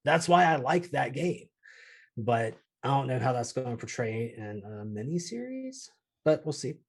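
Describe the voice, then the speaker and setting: A man's voice: mid-range voice, very animated, moderate pace, vocal fry. A man chatting casually as a podcast panelist, emphatic and prone to swearing. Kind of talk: casual chat about films and games.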